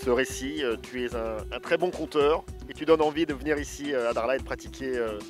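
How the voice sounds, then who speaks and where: A man hosting an interview, asking questions casually and slowly with many fillers. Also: medium pitch, music in the background. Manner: slow, halting, lots of fillers. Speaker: interviewer host.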